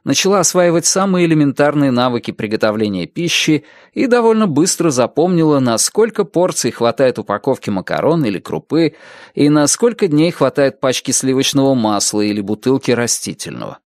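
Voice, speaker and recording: A man reading a detective novel aloud as an audiobook narrator, with an expressive, varied delivery. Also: medium pitch, a little fast, no room sound.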